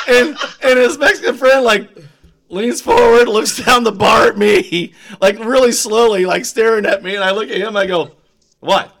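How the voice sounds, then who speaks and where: A man's voice: sits high, unhurried, vocal fry, fairly bright tone. A middle-aged man chatting casually and comically, with a theatrical way of putting on voices. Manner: theatrical, bursts into song and put-on voices.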